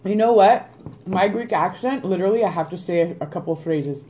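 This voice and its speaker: A woman co-hosting a podcast, chatting casually, animated and jokey, swearing freely. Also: on the low side, brisk, slight background noise. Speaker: podcast co-host. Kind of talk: casual chat.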